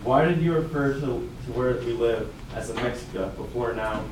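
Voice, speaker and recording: A man's voice: medium pitch, normal speed, lightly acted. A man playing a curious, easily confused schoolboy in a scripted puppet show. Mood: challenging. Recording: very echoey room, distant mic, clear noise.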